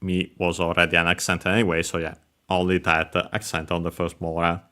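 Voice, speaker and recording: Low-voiced guy teaching japanese words, says uh a lot, crisp bright tone. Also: flat delivery, fairly quick. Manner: hesitant, many filler sounds, careful explanation. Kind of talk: explaining grammar over gameplay.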